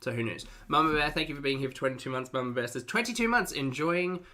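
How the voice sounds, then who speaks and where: A man's voice: fairly high pitch, expressive, quick pace, sharp tone. An Australian man hosting a casual livestream, chatting to viewers in a playful, talkative way with cutesy slang. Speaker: livestream host and reactor.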